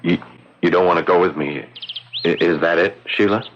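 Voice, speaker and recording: An actor playing an eager, smitten American doctor in a radio drama, with an American accent. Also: male voice, low-pitched, normal speed, heavy background noise, natural voice. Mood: eager, pleading. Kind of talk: radio drama acting and narration. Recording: dull radio recording, faint music.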